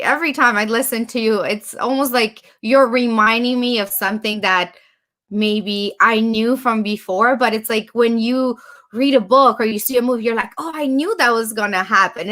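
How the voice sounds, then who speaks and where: High bright lady guest host, pep-talk style, punchy and emphatic. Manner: emphatic, motivational, repeats for effect. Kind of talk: casual podcast interview.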